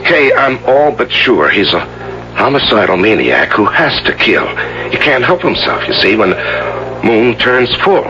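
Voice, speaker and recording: An earnest husband who presses his case with intensity. His pitch swings dramatically, in the style of 1970s radio drama. The sound is dull, with clear noise behind it. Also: big pitch swings, unhurried. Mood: intense, insistent. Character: earnest, suspected novelist husband, intense and coaxing. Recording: muffled, noisy old radio recording.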